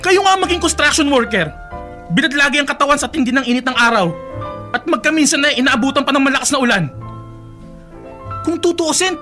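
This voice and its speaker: An actor playing a resentful, reproachful eldest son with a Filipino accent in a radio drama. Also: male voice, extremely high pitch, gravelly, sharp tone, full character voice. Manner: reproachful, emphatic. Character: resentful eldest son scolding his younger siblings.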